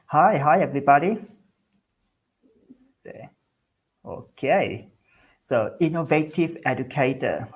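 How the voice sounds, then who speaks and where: A man's voice: on the high side, animated, takes it slowly, long pauses, husky, phone-quality sound. A man from Sabah, Malaysia, an English teacher giving a friendly webinar talk over a video call. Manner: friendly, plain presenting with small restarts. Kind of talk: online webinar presentation.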